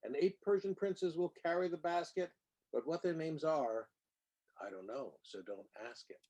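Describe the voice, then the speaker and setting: Man reading rhyming storybook, mellow voice. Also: a little high, lively intonation, normal speed, husky. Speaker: reader of a children's picture book. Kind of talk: expressive read-aloud of rhyming storybook verse.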